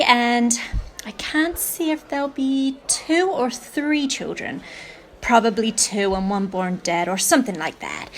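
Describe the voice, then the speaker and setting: A woman playing a blunt, tactless fortune-teller in a radio play, brusque and matter-of-fact with dry gloom. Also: fairly high pitch.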